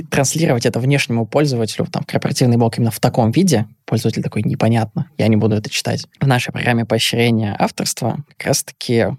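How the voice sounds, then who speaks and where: A man's voice: mid-range voice, darkish tone, no room sound, clean studio sound. A man who is a guest on a casual podcast, talking in a plain and straightforward way and telling anecdotes. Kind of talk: casual chat.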